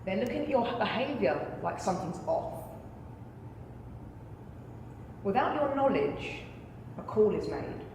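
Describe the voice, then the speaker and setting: Woman playing deliberate trial lawyer, very echoey hall. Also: medium pitch, very animated, a little mellow. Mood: solemn, persuasive. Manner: deliberate, rhetorical, persuasive. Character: impassioned female trial lawyer addressing a jury. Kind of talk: courtroom dramatization speech. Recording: echoey hall with faint noise.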